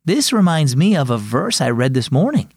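Man acting thoughtful young girl, keen, storybook tale, animated pitch. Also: moderate pace, breathy edge, no room sound, studio quality, heavily acted.

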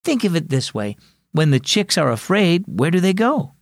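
A man voicing a gentle, patient mother who explains things reassuringly in a children's story. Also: on the high side, sing-song, normal speed, no room sound, studio quality, pitched above the performer's own voice.

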